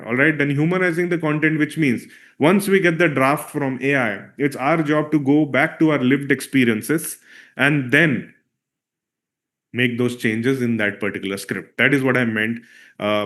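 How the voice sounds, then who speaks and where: A man, a Marathi speaker, teaches a live online session with a medium-pitched voice. He talks loosely and conversationally, leaning on "uh" and asking "all right?" to keep his audience with him. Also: normal speed, a bit bright. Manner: conversational, frequent "all right?" checks and "uh" fillers. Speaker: filmmaker and workshop presenter. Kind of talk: live online workshop talk.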